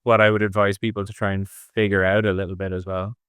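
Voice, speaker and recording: A man's voice: on the low side, little pitch movement, fast talker, a bit bright, smooth, no echo. A middle-aged man explaining things as an interview guest, thinking aloud in long, casual, discursive answers. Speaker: interview guest, design executive.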